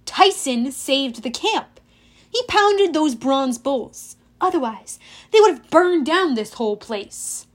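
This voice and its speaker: A woman's voice: high voice, moderate pace. A woman voicing an earnest, defiant and loyal schoolboy hero in a storybook reading.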